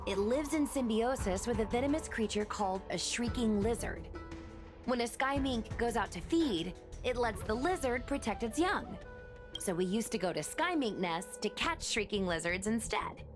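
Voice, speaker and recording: A woman's voice: a little high, lively intonation, moderate pace, natural voice. An actress playing a blunt, impatient young woman adventurer in a game cutscene. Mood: brisk. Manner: blunt, impatient, matter-of-fact. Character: brash young woman adventurer planning a trap. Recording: voice over game music.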